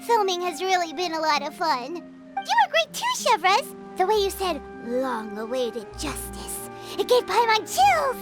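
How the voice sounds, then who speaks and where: A woman's voice: wildly swooping pitch, unhurried, over loud music, heavily acted. A woman voicing a tiny, childlike fairy companion in a video game, cutesy and chatty, speaking of herself by name. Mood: gleeful. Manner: squeaky, cutesy, refers to self by name.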